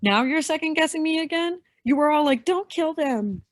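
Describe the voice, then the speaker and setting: A woman's voice: high-pitched, lively intonation, normal speed, no echo, clean studio sound. A woman playing a tough, wary young vigilante in a staged play reading. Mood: curt, irritated.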